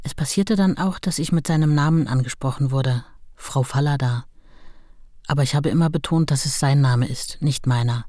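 An actress playing a writer's devoted, gently firm wife in a German radio play, speaking in a measured, plain way. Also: female voice, a bass voice, no room sound, studio quality. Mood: gently insistent. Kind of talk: German radio play, first-person recollection and letters.

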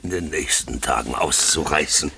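A deep, boozy, bragging Russian landowner. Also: male voice, normal speed, gravelly, bright, forceful tone, a little hiss or hum, exaggerated voice. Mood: scornful, tipsy. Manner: drunken, jovial, expansive, colloquial. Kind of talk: 1950s German radio play acting. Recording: 1950s radio drama recording.

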